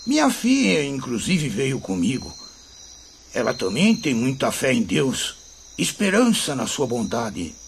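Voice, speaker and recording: An actor playing a humble, devout country labourer and father in a radio drama, with a rustic Brazilian accent and warm folksy manner. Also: mid-range voice, wildly swooping pitch, measured pace, gravelly, exaggerated voice. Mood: kindly, devout. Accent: rural Brazilian. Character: humble, devout rural labourer, kindly father spirit visitor. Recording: faint noise with crickets behind.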